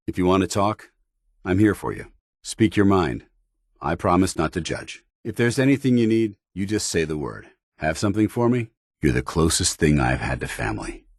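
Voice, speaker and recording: An actor playing a stern, earnest, dutiful male soldier in a video game, speaking with formal, measured military diction. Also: deep voice, slightly rough, dry recording, clean studio sound, full character voice. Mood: dutiful, helpful. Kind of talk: video game voice acting, companion dialogue.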